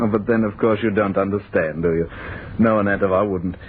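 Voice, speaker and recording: Male actor as bitter immortal from the future, low voice, condescending and impassioned, vintage radio drama with faint noise. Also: steady tone, moderate pace, lightly acted. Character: bitter, world-weary 250-year-old perfected man of a future world. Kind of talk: old-time radio horror drama acting. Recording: muffled, noisy old radio recording.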